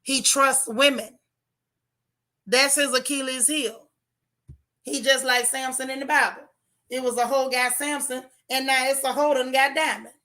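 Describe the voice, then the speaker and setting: A hoarse woman who hosts a channel. She reviews a TV show in a casual livestream, talking straight to her chat with animated, opinionated takes. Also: sits high, measured pace.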